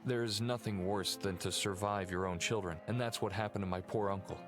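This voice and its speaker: A man's voice: fairly low pitch, steady tone, fairly quick, lightly acted. An actor playing an earnest, mournful male heir of a cursed family in a video game, explaining things solemnly. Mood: mournful, regretful. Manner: earnest, expository. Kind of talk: video game cutscene acting. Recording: loud music under the voice. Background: music.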